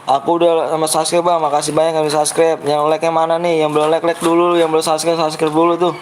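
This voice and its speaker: A young male game streamer with a Betawi accent and a somewhat raised pitch. He chats with viewers in a brash, blunt way that he says comes across as rough, and keeps pushing them to like and subscribe. A faint hum of noise runs under his voice. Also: level tone, quick pace. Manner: blunt, brash delivery he himself calls harsh-sounding. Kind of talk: live gaming stream chat.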